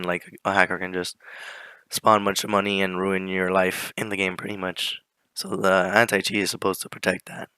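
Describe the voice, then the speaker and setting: Hoarse teen boy with a flu presenting slides for class; low, clear voice, keeps clearing his throat. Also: sharp tone, dry recording, rough recording. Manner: casual, many fillers, clears throat often. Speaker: student presenter.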